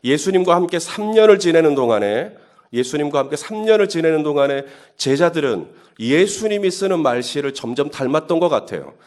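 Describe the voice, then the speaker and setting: A man's voice: a little high, expressive, a little fast, darkish tone. Conversational male pastor preaching. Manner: conversational, building to emphatic questions. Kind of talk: sermon at early-morning Holy Week service.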